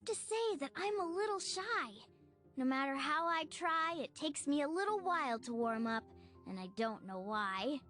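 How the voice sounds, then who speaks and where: A woman's voice: high voice, animated, normal speed, a bit bright, soft background music. A woman voicing a sweet, slightly shy little cartoon child who speaks in sing-song rhyme. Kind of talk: scripted rhyming children's game narration.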